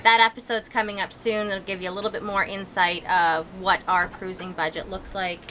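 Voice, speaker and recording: A woman's voice: mid-range voice, level tone, fairly quick, sharp tone, a little hiss or hum. A North American woman chatting casually as co-host of a vlog, answering viewer questions. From born in the United States, grew up in Canada.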